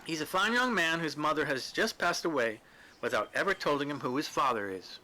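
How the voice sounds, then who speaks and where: Storybook woman, read by a man: tactful, gently hesitant, polite and measured, bright tone. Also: a little high, lively intonation, poor audio. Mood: earnest, sympathetic. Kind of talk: storybook character dialogue.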